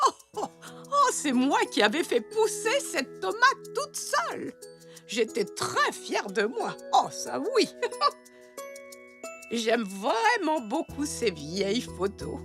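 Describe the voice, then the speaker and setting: A woman's voice: sits high, wildly swooping pitch, slow pace, fairly bright tone, faint music behind, heavily acted. A woman voicing a kindly, nostalgic elderly great-grandmother zebra in a French-language children's cartoon. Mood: fondly nostalgic.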